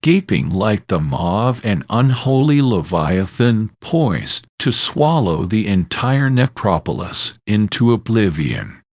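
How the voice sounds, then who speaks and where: A man reads a horror story with theatrical menace. His voice sits low and moves through a wide, animated range. He takes his time over each phrase, sounding ominous and grave. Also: takes it slowly, hardly any pauses, no room sound, clean studio sound. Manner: dramatic, ominous storytelling delivery. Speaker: narrator. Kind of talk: scripted horror story narration.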